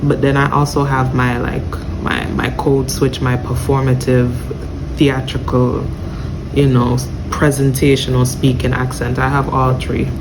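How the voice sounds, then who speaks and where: Casual YouTube vlogger, New York accent with Jamaican flavor, mid pitch, self-correcting, noisy home recording. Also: monotone, unhurried. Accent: New York with Jamaican touches. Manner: chatty, self-correcting, searches for words aloud. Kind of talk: casual direct-to-camera vlog.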